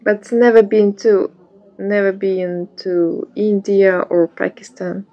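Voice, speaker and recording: A woman's voice: mid-range voice, little pitch movement, takes it slowly, warm tone. A woman from Lithuania chatting casually on a video call, giving short, blunt answers in simple English. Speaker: language exchange partner. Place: Ireland. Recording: dull lo-fi call audio.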